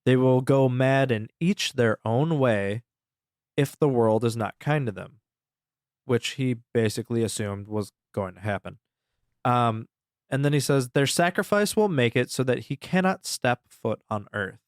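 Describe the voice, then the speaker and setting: A man's voice: mid-range voice, unhurried, no room sound. A man with a mid-range voice talks as a podcast co-host, walking through a story in a casual, rambling style. He is informal and swears freely. The sound is very dry, with little room in it.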